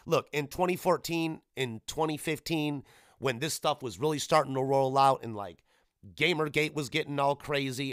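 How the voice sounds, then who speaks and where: A man with a mid-pitched voice talks casually as the solo host of a gaming podcast. He rambles along irreverently and swears freely. The recording is dry, with very little room sound. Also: fairly bright tone, no echo.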